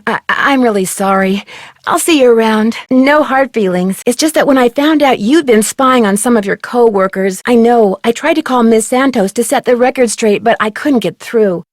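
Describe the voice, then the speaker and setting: A woman acts out a young female amateur sleuth for a detective video game. Her voice sits on the high side and sounds very dry, free of room sound. She is courteous and conversational, yet persistent and inquisitive. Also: moderate pace, no room sound, lightly acted. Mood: earnest. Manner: polite, inquisitive, clearly enunciated questioning. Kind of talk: video game dialogue, conversational question delivery.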